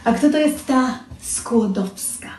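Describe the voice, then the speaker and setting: Melodramatic young wife: high-voiced, accusing and theatrical, recorded in a roomy home room. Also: female voice, lively intonation, slow, darkish tone, a little hiss or hum. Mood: suspicious. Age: young adult.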